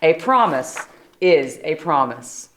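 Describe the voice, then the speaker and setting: A woman's voice: deep voice, sing-song, takes it slowly, a bit bright, voice pushed down, full character voice. A woman reading a storybook as a polite, coaxing but insistent male moose. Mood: politely insistent. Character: eager, kindly but insistent male moose hunting a turkey.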